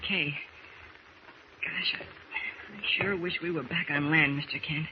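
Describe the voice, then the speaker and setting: An actor playing an earnest, anxious young lad in an old-time radio drama, speaking hesitantly. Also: male voice, on the high side, steady tone, a little slow, close to an everyday voice. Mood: uneasy, evasive. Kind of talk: 1940s radio acting. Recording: dull, hissy old radio recording.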